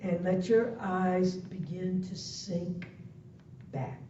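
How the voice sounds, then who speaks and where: A woman meditation instructor leading a guided practice in soothing, deliberate phrases with long pauses between them. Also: fairly even intonation, dark tone. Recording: muffled, echoey, distant web-call audio, faint rumble.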